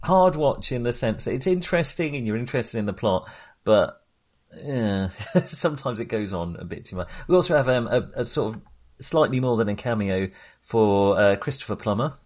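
A man's voice: mid-range voice, animated, normal speed, darkish tone. A man talking casually as a podcast co-host in a film discussion, with a chatty, hesitant delivery.

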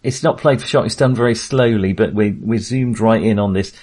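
Guy on a film podcast, voice a bit low, halting, kinda thinking out loud. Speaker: podcast co-host. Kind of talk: casual film discussion.

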